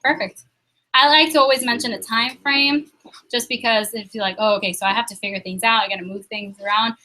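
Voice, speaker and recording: A young woman leading a sales training meeting, upbeat and quizzing the room with quick questions. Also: fairly high pitch, steady tone, sharp tone, poor audio.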